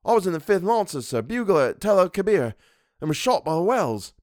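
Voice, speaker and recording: A man's voice: sits high, sing-song, brisk, darkish tone, dry recording, clean studio sound, voice pushed up. A man voicing a young Cockney servant in a story, deferential and colloquial, by turns sheepish and indignant. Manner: colloquial, deferential, full of sirs.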